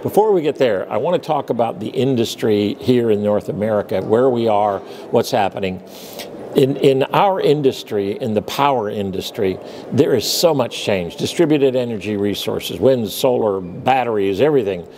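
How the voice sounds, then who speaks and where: A man's voice: medium pitch, animated, moderate pace, darkish tone. A man hosting interviews at a conference, friendly and encouraging, nudging his guest along with frequent short affirmations. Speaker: interview host, managing editor. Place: busy conference venue. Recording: noisy conference floor.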